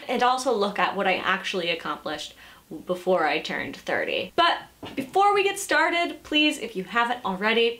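A woman's voice: on the high side, a bit bright. Chatty woman around thirty, self-deprecating. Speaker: vlogger and creative entrepreneur. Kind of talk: casual unscripted vlog talk. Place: sunlit room in front of glass doors, indoors.

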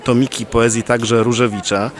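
Forties male, personal reflection, unhurried and thoughtful, middle voice. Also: mid-range voice, little pitch movement. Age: 40s. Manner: thoughtful, searching for words.

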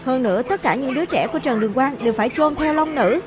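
A woman dubs an animated fantasy for children, taking each character's lines herself. Her voice sits on the high side. Music plays clearly behind her. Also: quick pace. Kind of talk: dubbed narration of a children's animated fantasy, voicing every character.

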